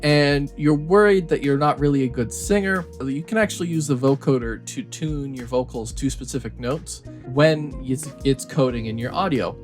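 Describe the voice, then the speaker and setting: A man presenting a software tutorial, explaining things step by step in a casual way. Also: medium pitch, moderate pace, few pauses, soft background music.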